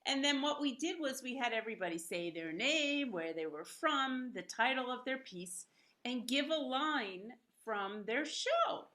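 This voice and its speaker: A woman's voice: sits high, expressive, a little slow, pushed and bright. A woman founder hosting a live video-call event, talking enthusiastically and promoting the festival. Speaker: host and founder of a theater.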